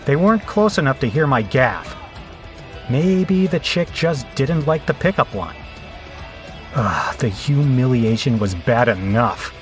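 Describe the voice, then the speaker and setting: A man's voice: medium pitch, fairly bright tone. Man playing a cynical, bitter biker narrator. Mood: humiliated, wry. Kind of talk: first-person audio drama narration.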